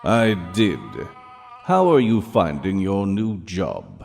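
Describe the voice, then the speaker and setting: Man acting a deep, reverberant tyrant of Hell. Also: big pitch swings, vocal fry, darkish tone, soft background music, full character voice. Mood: suspicious, threatening. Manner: measured, commanding, pompous. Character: stern tyrannical male ruler of Hell, menacing. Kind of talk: audio drama acting. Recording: long hall reverb.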